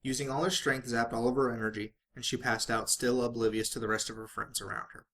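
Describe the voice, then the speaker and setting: A man's voice: medium pitch, little pitch movement, fast talker, fairly bright tone. A man reading fan fiction aloud as a dramatic narrator, sometimes stumbling and rereading phrases.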